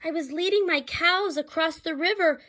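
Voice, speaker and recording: Woman voicing a frantic, high-pitched little storybook man. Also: normal speed, fairly bright tone. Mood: frantic pleading. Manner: urgent, polite pleading. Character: frantic little bearded leprechaun-like man pleading for help. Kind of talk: storybook character voice.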